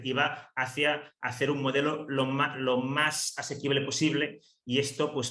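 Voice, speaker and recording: A man's voice: mid-range voice, bright, forceful tone, echoey room. A man whose first language is Catalan, giving an online seminar talk in a hesitant way, with many fillers and restarts. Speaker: seminar speaker on housing cooperatives.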